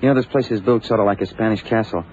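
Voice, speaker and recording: An actor playing a brisk, plain-spoken detective's assistant in an old radio drama, informal and direct. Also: male voice, on the low side, a little mellow, natural voice. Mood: insistent. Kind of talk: 1960s-70s radio drama acting. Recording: muffled old radio broadcast, faint hiss.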